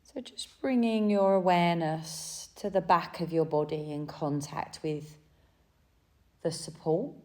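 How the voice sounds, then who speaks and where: A woman with a low, soft-edged voice guides a deep relaxation practice. She speaks very slowly and gently, leaving space between short phrases. Her tone is dark and mellow, soothing the listener through each step. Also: fairly even intonation. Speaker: yoga nidra guide.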